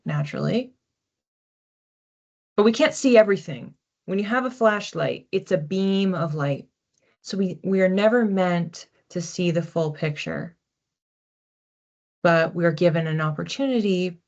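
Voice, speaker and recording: A woman with a fairly low voice talks through an oracle card reading as its host. Her delivery is informal and reflective, with lively asides. The sound is a little muffled and lo-fi. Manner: conversational, reflective, animated asides. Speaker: psychic card reader, host.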